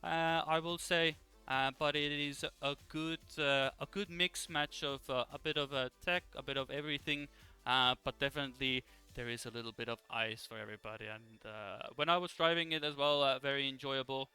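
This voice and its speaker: A man hosting an esports broadcast in a casual live style, with hesitant, halting phrasing. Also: mid-range voice, fairly even intonation, pushed and bright, clean tone. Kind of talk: casual live presenting and commentary. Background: music in the background.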